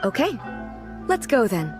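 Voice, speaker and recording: Regal anime game ruler: higher-pitched, formal, earnestly literal and inquisitive, wide intonation, music underneath. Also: female voice, big pitch swings, unhurried. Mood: calm, curious. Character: regal female ruler, composed, curious, earnestly literal-minded. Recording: music behind the voice.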